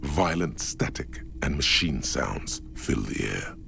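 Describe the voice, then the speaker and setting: An actor voicing a solemn, cryptic inner-voice narrator in a video game, speaking in measured, literary, brooding phrases with a gravelly voice. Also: male voice, animated, a bit bright, a little hiss or hum, heavily acted. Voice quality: gravelly, very deep. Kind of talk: scripted second-person game narration. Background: music.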